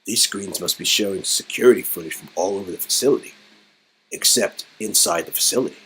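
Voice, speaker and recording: A man's voice: deep voice, a little fast, fairly bright tone, husky, rough recording. A man reading a story game aloud as host, playfully dramatizing it and breaking off into joking commentary. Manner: playful, riffing, swings into exclamations and mock voices.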